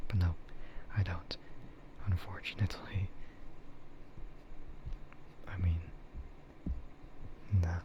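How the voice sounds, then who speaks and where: A man softly whispering an unhurried, rambling ASMR livestream chat in a breathy voice, close to the microphone. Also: sits low, muffled recording, noisy background. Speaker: livestreamer and content creator.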